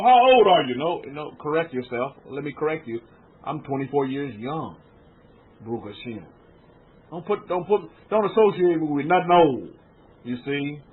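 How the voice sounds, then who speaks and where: Husky high-voiced preacher over a phone line. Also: male voice. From United States. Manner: exhorting, repetitive, addresses listeners as family. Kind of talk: sermon.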